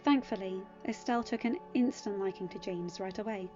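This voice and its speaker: A woman narrates fiction in a scripted audiobook style at a medium pitch. Music plays underneath, and the sound is slightly muffled. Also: a little fast, mellow.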